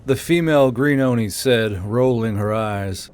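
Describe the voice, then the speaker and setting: A man's voice: medium pitch, unhurried. A man reading scripted fiction narration as the narrator of an audiobook. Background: soft background music.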